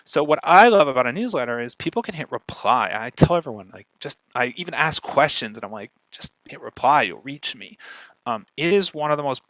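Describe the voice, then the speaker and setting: A man speaking conversationally as a guest expert, animated and often checking in with "right?". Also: mid-range voice, fairly quick, dry recording, low-quality recording. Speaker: guest speaker, podcaster and marketer. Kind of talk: conversational talk on podcast marketing.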